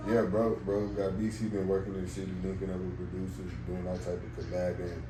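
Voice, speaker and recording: A young man with a very deep, low voice chats in a relaxed, slang-filled way, often checking in with his listener. He sounds set back from the mic in an echoey room, with steady noise underneath. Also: fast talker, far from the mic. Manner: laid-back, slangy, full of "you know what I'm saying". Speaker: rapper guest. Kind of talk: casual interview chat.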